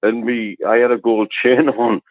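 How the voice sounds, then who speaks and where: A man with a deep voice, a caller telling a rambling story on a phone-in show and laughing as he goes. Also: level tone, normal speed, no room sound, phone-quality sound. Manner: chatty, rambling, laughs through his stories.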